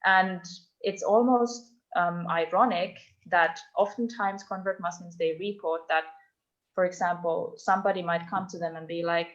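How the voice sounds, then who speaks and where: A woman's voice: fairly low pitch, fairly even intonation, moderate pace. A woman giving an online talk about her research, thoughtful and full of ums and restarts, heard over a video call. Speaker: invited speaker, doctoral researcher. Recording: dull lo-fi online call audio.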